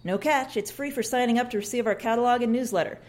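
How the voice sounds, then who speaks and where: A woman reading a pushy, chipper door-to-door saleswoman with brisk sales patter. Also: on the high side, close to an everyday voice. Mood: salesy.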